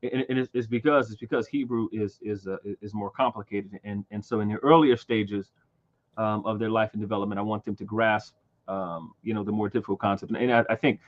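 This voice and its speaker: A man in his thirties hosting a casual livestream chat, talking haltingly with many fillers and repeated words. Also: on the low side, fairly even intonation, lo-fi sound. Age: 30s. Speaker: livestream host.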